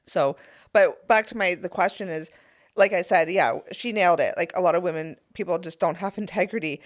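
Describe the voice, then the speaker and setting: A Canadian woman with a slightly low voice is a guest on a podcast. She tells her story in a casual, conversational way. She speaks earnestly and leans hard on her key points. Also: brisk.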